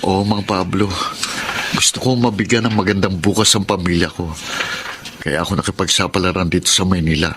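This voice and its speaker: A man's voice: low-pitched, fairly even intonation, a little fast, background noise, close to an everyday voice. A man playing an earnest, respectful young married worker in a Filipino drama, speaking hesitantly and politely with a Filipino accent. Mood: troubled. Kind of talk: Tagalog radio-style drama acting.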